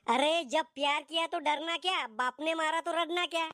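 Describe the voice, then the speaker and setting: A man's voice: very high voice, moderate pace, sharp tone, dry recording, clean studio sound, exaggerated voice. A man voicing a cheeky young friend who preaches mock-earnestly, in a comic cartoon style with a Vidarbha Marathi accent. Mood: preachy. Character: cheeky, philosophizing young friend defending young love.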